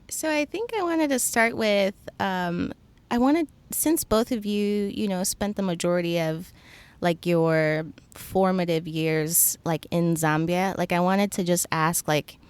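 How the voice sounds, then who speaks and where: A young woman host speaks casually and loosely, leaning on fillers as she asks questions. Her voice is smooth and resonant, recorded very dry and close. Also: fairly low pitch, unhurried, dry recording. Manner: casual, filler-heavy with many "like"s. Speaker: podcast host.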